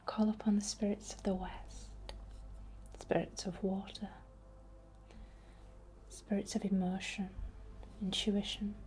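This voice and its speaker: A woman whispering a ritual ASMR incantation in a breathy, deliberate way. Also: mid-range voice, little pitch movement, a little mellow. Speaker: ASMR witch and Reiki healer.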